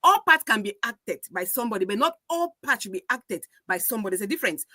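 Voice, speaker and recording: Fast, bright-voiced woman in casual talk. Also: mid-range voice, big pitch swings, no echo, clean studio sound. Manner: opinionated, mixes pidgin into her English. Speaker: podcast co-host with broadcasting training. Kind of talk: casual chat.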